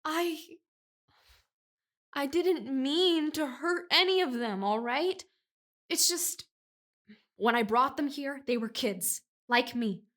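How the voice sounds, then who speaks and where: A woman's voice: sits high, expressive, takes it slowly, long pauses, fairly bright tone, exaggerated voice. A woman voicing a defensive, naive boy who never grows up, childlike and earnest, in an audio drama. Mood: anxious, guilty.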